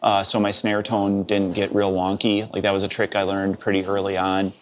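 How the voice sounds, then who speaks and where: A man in his fifties with a Wisconsin accent, a live sound engineer telling his story in a relaxed, rambling interview. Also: sits low, moderate pace, slight background noise. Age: 50s. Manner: relaxed, rambling, with many "uh" and "you know".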